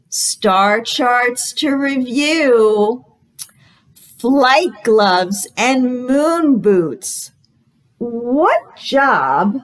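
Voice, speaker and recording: A woman hosting a storytime for young children, reading aloud in an exaggerated, encouraging way and pausing after questions to invite guesses. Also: high-pitched. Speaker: children's librarian, storytime host.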